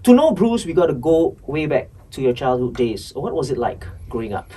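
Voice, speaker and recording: A man's voice: fairly high pitch, big pitch swings, moderate pace, mellow, slightly rough, far from the mic. Host: Singaporean man, stammering repeats, eager interviewer, a bit off-mic. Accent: Singaporean English. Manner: hesitant, repeats words, eager questions. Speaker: podcast host. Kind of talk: casual interview.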